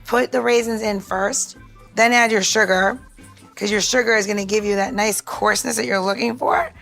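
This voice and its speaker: A podcast host with a gravelly, fry-tinged voice chats bluntly and breezily. She talks listeners through a cocktail syrup recipe in a casual, friendly tone, with faint music behind her. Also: medium pitch, steady tone, normal speed, a bit bright. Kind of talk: casual chat and recipe walkthrough.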